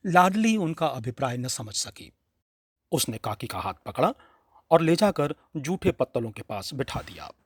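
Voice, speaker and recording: A man narrating a literary story in a measured, expressive, dramatic reading style. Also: medium pitch, husky, no echo, studio quality.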